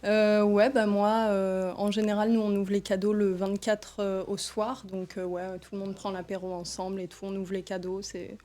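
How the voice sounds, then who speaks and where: A woman's voice: mid-range voice, level tone, normal speed, few pauses, mellow, soft background music. A woman in her twenties hosting a casual live chat, often hesitating with fillers. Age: 20s. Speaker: live host.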